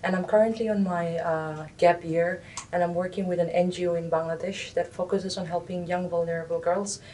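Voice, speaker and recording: A woman's voice: steady tone, fairly quick, warm tone. A young woman from Nagaland, India, answering interview questions hesitantly, with many fillers and restarts. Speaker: interviewee, recent graduate. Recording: distant mic, faint rumble.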